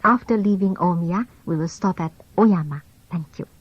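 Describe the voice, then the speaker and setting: A woman reading scripted onboard train announcements in a formal, measured way. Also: lowish voice, no room sound. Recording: dull lo-fi old cassette tape.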